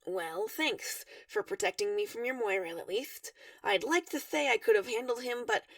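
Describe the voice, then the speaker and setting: A baffled, outspoken teenage boy voiced by a woman in a story reading, frank and questioning. The voice has creak and varied pitch. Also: big pitch swings, moderate pace, a bit bright, no echo, far from the mic, full character voice. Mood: wary.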